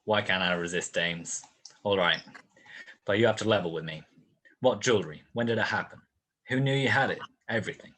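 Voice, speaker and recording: A man playing a world-weary, sardonic private detective in a 1940s-style radio play, with a wry, curt, deadpan delivery. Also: on the low side, brisk, pauses often. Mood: weary, reluctant. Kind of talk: 1940s detective radio play acting with first-person narration.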